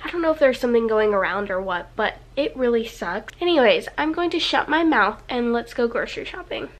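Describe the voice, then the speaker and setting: A woman's voice: on the high side, lively intonation, a little fast, slight background noise. A woman in her twenties with a nasal, congested voice from a cold, chatting casually to a handheld camera while shopping in a grocery store. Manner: chatty, talks to camera and baby. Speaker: vlogger. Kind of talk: casual vlog chat. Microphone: handheld camera at arm's length.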